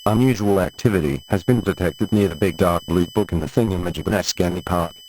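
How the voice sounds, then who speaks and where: A man's voice: sits low, a little fast, darkish tone, slight background noise, low-quality recording. A man reading a scripted administrative emergency message in a formal, official manner. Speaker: emergency alert announcer. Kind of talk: scripted emergency broadcast announcement.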